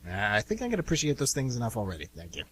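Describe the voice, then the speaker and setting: A man's voice: medium pitch, huge pitch swings, racing, a bit bright, slightly rough, heavily acted. A man playing a shifty, easygoing younger brother and small-time thief in an amateur radio drama, talking in an offhand, slangy way. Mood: shifty, casual.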